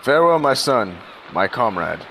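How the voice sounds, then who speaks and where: A man's voice: mid-range voice, big pitch swings, normal speed, sharp tone, a little hiss or hum, rough recording. A man playing a grave, devout old father who declaims solemnly and prayerfully in an old-time radio drama.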